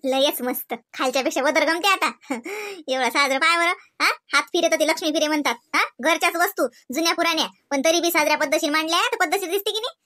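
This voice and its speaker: A woman's voice: high-pitched, quick pace, few pauses, sharp tone. A woman voicing fussy, playful housewives in a Marathi comedy cartoon, with a Varhadi accent and exclamatory, chatty delivery. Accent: Varhadi Marathi from Vidarbha.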